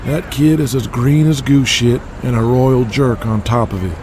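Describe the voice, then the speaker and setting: A man's voice: mid-range voice, fairly even intonation, moderate pace, lightly acted. Man acting sardonic wrestler amid street noise. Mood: sardonic, irritated.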